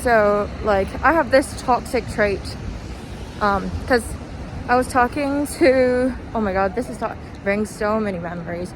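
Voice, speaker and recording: Young female vlogger, unhurried delivery, self-deprecating and tangential, recorded outside on a walk over noticeable city noise. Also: on the high side. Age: young adult. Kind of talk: casual rambling vlog while walking. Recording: noisy outdoor recording with traffic.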